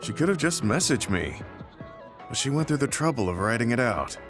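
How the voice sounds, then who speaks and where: A man playing a middle-aged, guilt-ridden veteran soldier in a video game, speaking in a measured, earnest way. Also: medium pitch, sing-song, close to an everyday voice. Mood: gentle, reflective. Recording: studio voice under loud game music.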